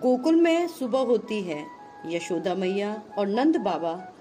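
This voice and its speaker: Slow, deliberate woman storyteller. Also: mid-range voice, lively intonation, warm tone, faint music behind. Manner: deliberate, phrase-by-phrase delivery. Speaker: puppet show narrator. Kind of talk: scripted storytelling narration for children.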